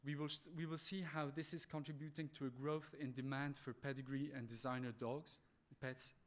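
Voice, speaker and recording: A man with a French accent giving a conference presentation in a halting, polite, apologetic way. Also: medium pitch, little pitch movement. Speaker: conference speaker, animal welfare manager.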